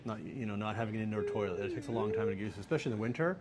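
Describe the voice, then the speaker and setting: Relaxed American man, low voice. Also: rapid-fire, a crowd in the background. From United States. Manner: relaxed, joking, laughs easily. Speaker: interview guest. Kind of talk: casual interview answers. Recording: faint background noise.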